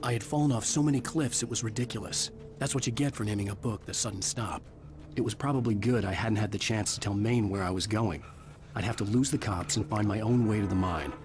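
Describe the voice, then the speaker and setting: An actor playing a world-weary writer, narrating a video game in a measured, somber, dry way. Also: male voice, lowish voice, background noise, close to an everyday voice. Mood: brooding. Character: brooding, world-weary male writer telling his ordeal. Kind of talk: scripted first-person game narration.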